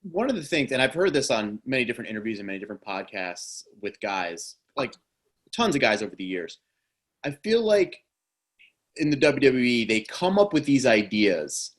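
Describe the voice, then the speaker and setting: A man hosting a podcast interview, talking in a chatty way and giving short replies while his guest speaks. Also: fairly high pitch. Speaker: podcast host.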